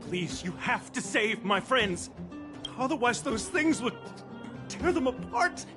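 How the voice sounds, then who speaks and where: A woman's voice: a little high, expressive, slow, music in the background. A woman playing a frightened young townsperson in a video game, pleading and panicked. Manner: stammering, pleading.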